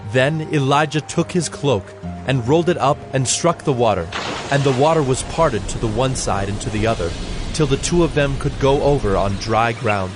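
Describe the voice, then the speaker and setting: A man's voice: mid-range voice, moderate pace. A man narrating scripture from a script in a solemn storytelling manner. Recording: background music under the voice.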